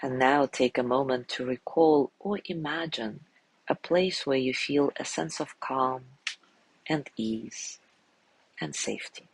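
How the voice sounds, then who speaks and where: A man guiding a sleep meditation in a gentle, soothing way, softly spoken and unhurried, with long pauses between phrases. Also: medium pitch, vocal fry, slightly rough, dry recording, rough recording. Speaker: meditation guide.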